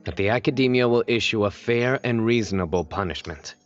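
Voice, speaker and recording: Low, composed, deadpan officer played by a man. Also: lo-fi sound, faint music behind, natural voice. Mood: formal. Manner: formal, measured, deadpan. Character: stern, composed male law-enforcement officer. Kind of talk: video game voice acting.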